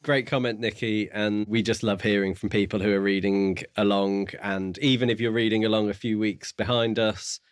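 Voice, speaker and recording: A man with a fairly low voice talks as a podcast co-host, recorded dry with little room sound. He is measured and courteous, explaining his thoughts in a casual book-chat style. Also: steady tone, smooth, no echo.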